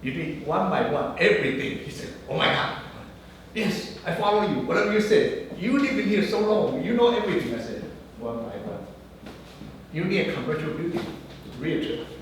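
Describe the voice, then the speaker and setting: Non-native English man, guest speaker, animated storyteller, slightly high voice, cavernous room, distant mic. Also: normal speed. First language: not English. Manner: animated storyteller acting out dialogue. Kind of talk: anecdotal business talk. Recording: echoey room, mic far off, faint noise.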